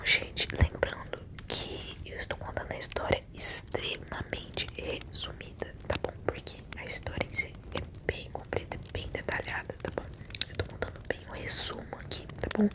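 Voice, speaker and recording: A Brazilian woman telling a story in a soft, intimate, soothing ASMR whisper. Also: a little slow. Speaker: ASMR video creator telling game stories.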